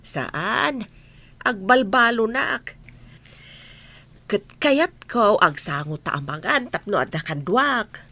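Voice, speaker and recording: A woman's voice: medium pitch, expressive, slow, pauses often, sharp tone, heavily acted. A woman with an Ilocano accent playing a caring motherly village elder in a radio comedy drama. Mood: tender.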